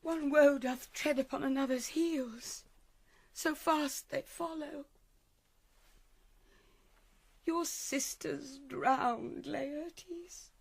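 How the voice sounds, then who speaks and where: A woman's voice: high voice, fairly even intonation, vocal fry, no echo, full character voice. An actress playing a mournful, grieving queen in a Shakespearean radio drama, solemn and lyrical. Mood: sorrowful. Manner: solemn, measured, lyrical delivery.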